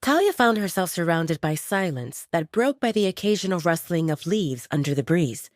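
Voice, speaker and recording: A woman's voice: fairly low pitch, lively intonation, normal speed, no room sound, clean studio sound. A woman reading scripted narration as the storyteller of an audio drama.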